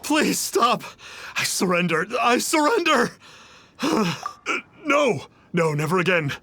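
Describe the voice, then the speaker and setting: A man's voice: sits high, sing-song, slow pace, creaky, bright, forceful tone, slight background noise, heavily acted. A man voicing a cowardly, fawning fake holy man in a video game, grovelling and gushing like a devoted fan. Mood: pleading. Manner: grovelling, fanboyish.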